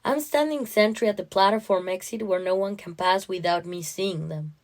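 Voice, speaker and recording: A woman narrating a first-person literary story in an expressive, dramatic way. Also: mid-range voice, normal speed, soft background music.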